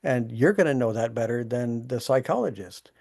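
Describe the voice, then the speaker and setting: A man speaking at an online town hall, explaining disaster resiliency in a relaxed, plainspoken way. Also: medium pitch, normal speed, gravelly, studio quality. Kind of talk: casual conversational explaining.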